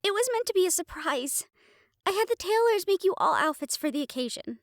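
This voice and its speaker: A bubbly young royal in a fantasy audio drama, acted by a woman, with a very high, swooping voice. She is perky, girlish and a little scatterbrained. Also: big pitch swings, a little fast, dry recording, studio quality, exaggerated voice. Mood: sheepish, bubbly. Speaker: character in fantasy audio roleplay. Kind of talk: dramatic audio roleplay acting.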